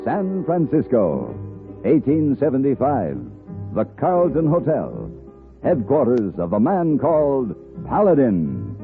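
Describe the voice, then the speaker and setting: A man announcing a radio show in a formal, dramatic and measured 1950s style. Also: mid-range voice, wildly swooping pitch, over music. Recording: muffled old radio broadcast.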